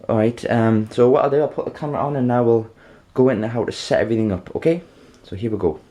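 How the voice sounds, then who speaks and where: A man presenting an informal how-to demonstration, halting and rambling, often checking in with the listener. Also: mid-range voice, dark tone. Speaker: video tutorial presenter.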